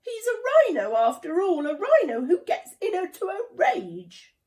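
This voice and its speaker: A woman's voice: huge pitch swings, a little slow, a little mellow, distant mic, full character voice. A woman voicing a nervous, wary female animal who sputters her worries in a children's storybook. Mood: anxious. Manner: high, anxious, sputtering.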